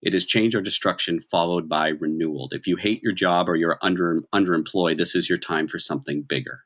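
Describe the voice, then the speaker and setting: A man's voice: low-pitched, a little fast, a bit bright. A man working as game master, improvising narration in a conversational, dramatic storytelling manner.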